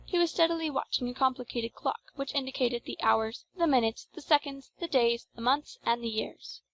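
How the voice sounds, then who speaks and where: A woman reading scripted literary narration as an audiobook narrator, in a measured, formal storytelling manner. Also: high voice, fairly bright tone, no room sound, rough recording.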